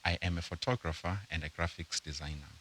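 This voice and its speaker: Young adult man from Zimbabwe, interview guest; low pitch, bright tone, measured delivery. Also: steady tone, husky. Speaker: guest entrepreneur. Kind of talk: casual interview.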